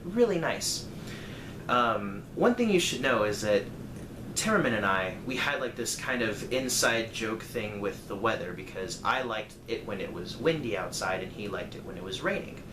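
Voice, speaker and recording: A man's voice: fairly low pitch, expressive, moderate pace. A man telling a personal story casually, in a rambling, conversational way with dramatic emphasis. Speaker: storyteller vlogger. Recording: echoey room, clear background noise.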